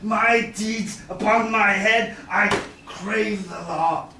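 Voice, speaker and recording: Male actor as vengeful moneylender, theatrical Shakespeare, exclamatory, deliberate, distant echoey stage recording. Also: high-pitched, fairly even intonation, gravelly, full character voice. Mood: unyielding. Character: vengeful, stubborn male moneylender demanding his bond. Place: small theatre stage, indoors. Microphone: far audience camera. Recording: echoey stage, distant camera, faint noise.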